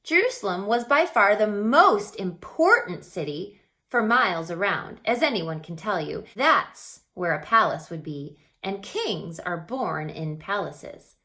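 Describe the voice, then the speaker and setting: A woman reading a children's story aloud with dramatic expression, stretching words and dropping into hushed passages. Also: medium pitch, expressive, a little slow. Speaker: storybook reader.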